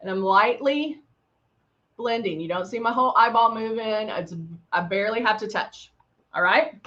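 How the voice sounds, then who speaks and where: Chatty Texan woman, slightly high voice. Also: moderate pace, a bit bright. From Texas. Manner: chatty and upbeat, talks while applying makeup, playful sound effects. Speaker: makeup brand host. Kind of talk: live makeup tutorial, chatting with viewers. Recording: dull lo-fi livestream audio.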